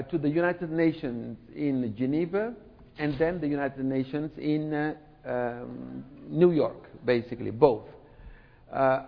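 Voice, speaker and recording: Middle-aged man speaking in a reverberant hall. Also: a little high. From Senegal, raised in France. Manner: relaxed, conversational with fillers and asides. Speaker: speaker, church representative. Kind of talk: sermon-style lecture. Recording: dull sound with long hall reverb.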